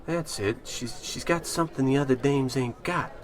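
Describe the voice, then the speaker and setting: A man's voice: mid-range voice, normal speed, close to an everyday voice. An actor playing a brash, cocky bar-room wise guy in an old-time radio comedy, bossy and full of slang. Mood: quietly earnest.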